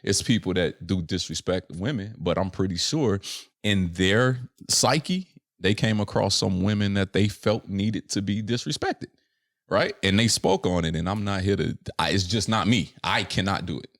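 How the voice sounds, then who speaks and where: A man's voice: fairly low pitch, moderate pace, dry recording, studio quality. A young adult man from Chicago, a rapper talking as a podcast guest in an earnest, reflective way, often checking in with "right?". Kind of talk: casual reflective interview chat.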